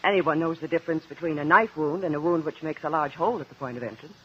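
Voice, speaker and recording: An actress in a 1950s radio drama playing a defensive widowed former nurse, hesitant and indignant on the witness stand. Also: female voice, low-pitched, quick pace, slightly rough. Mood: guarded. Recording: muffled vintage 1950s radio recording.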